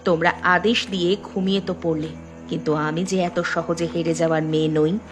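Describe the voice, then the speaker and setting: An actress playing an earnest, strong-willed young daughter-in-law in a cartoon drama. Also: female voice, lowish voice, steady tone, a little fast, music in the background, natural voice. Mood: determined, defiant. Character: educated young daughter-in-law, defiant amateur sleuth.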